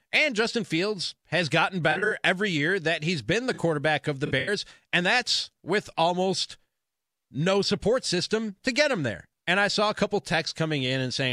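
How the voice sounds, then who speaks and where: A man's voice: on the high side, sharp tone, dry recording, studio quality. A man hosting sports talk radio, delivering an emphatic, opinionated monologue and repeating phrases for stress.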